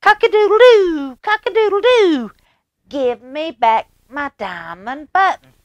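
A woman voicing a plucky little male rooster who crows his demands in a loud, high chant. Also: lively intonation, measured pace, no room sound, dull audio, full character voice. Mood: demanding.

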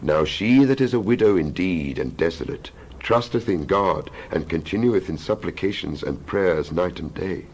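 A man with a low voice reads scripture as a dramatic performance. His delivery is solemn and declamatory, laid out in measured phrases, with the pitch rising and falling widely as he goes. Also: animated, darkish tone, slight background noise. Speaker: Bible reader.

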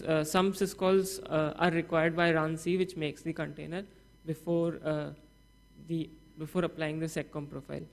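A young man presenting his summer coding project at a conference, talking through slides with many fillers and restarts. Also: a little high. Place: conference hall stage.

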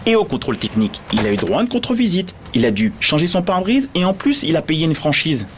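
Upbeat man reading a sales pitch. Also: big pitch swings, fast talker, background noise. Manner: clear persuasive sales pitch. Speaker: advertising spokesman. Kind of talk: scripted radio ad read.

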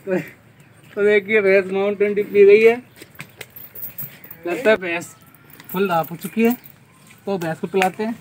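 A young man giving excited, repetitive casual vlog commentary to his viewers outdoors. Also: sits high, unhurried, pauses often. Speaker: vlogger. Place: open dirt farmyard, outdoors. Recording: noisy outdoor yard, birds and animals.

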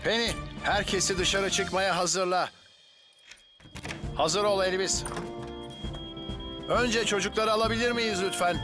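A man voicing a calm, dependable firefighter in a dubbed children's cartoon, reassuring and instructive. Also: sits high, takes it slowly, pauses often, music in the background. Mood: calm, urgent. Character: calm, dependable adult male firefighter hero.

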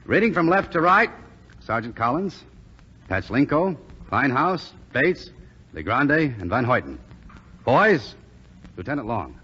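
An actor playing a steady, good-natured young American bomber pilot in a 1940s radio drama. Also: male voice, medium pitch, a little slow, long pauses, husky, heavily acted. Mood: commanding. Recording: muffled, hissy 1940s radio recording, hall reverb.

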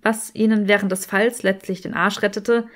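A woman reading a story as an audiobook narrator, in an expressive scripted style. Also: mid-range voice, moderate pace.

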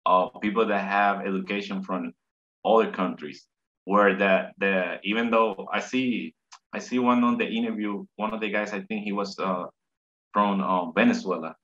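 Puerto rican guy on a muffled webcam call, spanish first language, kinda low voice, stumbles and repeats himself. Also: fairly even intonation, a bit bright. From Puerto Rico. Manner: halting, repeats words, quotes himself. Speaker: interview guest. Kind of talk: casual video call interview. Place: home room, indoors. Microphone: video call webcam. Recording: dull lo-fi video call audio.

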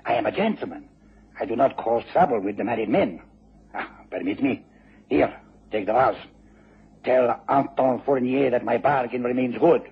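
An actor playing a smug, menacing gentleman blackmailer with mock-courteous, gloating manners in a radio drama. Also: medium pitch, normal speed, breathy edge. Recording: dull, noisy old radio recording.

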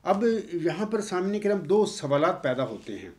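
An older man giving a Friday sermon with a close mic, explaining scripture and chanting Arabic verses in long, drawn-out phrases. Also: high-pitched, sing-song. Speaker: preacher. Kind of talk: Friday sermon with slow Quranic recitation. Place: indoors, seated before a backdrop screen. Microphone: close studio mic in front of the face.

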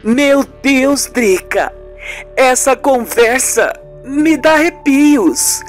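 A woman acts out a protective, easily confused aunt in a radio soap. The voice is high and exclamatory, unhurried, over background music. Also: expressive, full character voice. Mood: intrigued. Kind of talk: amateur radio soap acting.

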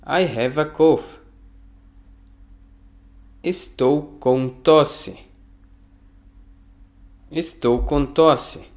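A man teaching phrases, reading each one slowly and repeating it for learners. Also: fairly high pitch. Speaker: language teacher. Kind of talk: slow phrase drill for learners.

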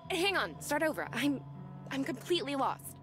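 Woman acting an irritable, animated young woman. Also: sits high, normal speed, voice pushed up. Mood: sceptical, demanding. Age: young adult. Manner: curt, sarcastic, exasperated. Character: irritable, blunt young woman, sarcastic and impatient. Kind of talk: visual novel character reading. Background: background music.

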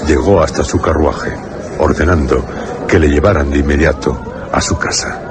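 A man with a Castilian accent narrating a radio horror story in a grave, deliberate way with dramatic pauses. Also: extremely low pitch, heavy background noise. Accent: Castilian Spanish.

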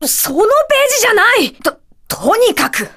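A smug, bookish schoolboy magician from a video game. The voice sits high and moves in big, animated leaps. The delivery is theatrical and pompous, that of a brainy little snob who is easily flustered. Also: female voice, normal speed, exaggerated voice. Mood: flustered, indignant. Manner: pompous, theatrical, clearly declaiming. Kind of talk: Japanese video game character voice clips.

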